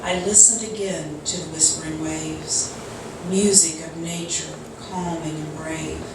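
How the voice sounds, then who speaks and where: A woman's voice: deep voice, steady tone, unhurried. A woman reading a poem aloud as a congregation member at a service. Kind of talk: poetry recitation. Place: large echoey indoor room at a church service, mic at a distance. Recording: distant, very echoey, loud noise.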